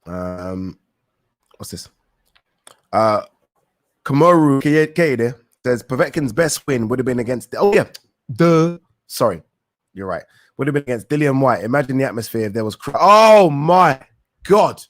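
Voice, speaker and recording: A man's voice: medium pitch, sing-song, a little slow, pauses often, dry recording. A young man talks through viewers' boxing questions in a loose, rambling live-stream style. He swears offhandedly and corrects himself mid-sentence. His mid-range voice sits dry and close to the mic. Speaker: boxing talk show host.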